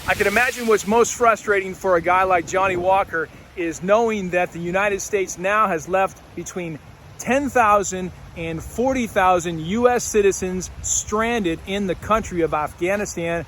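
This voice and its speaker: Male host, fiery political commentator, high-pitched and emphatic. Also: fairly bright tone, a little hiss or hum.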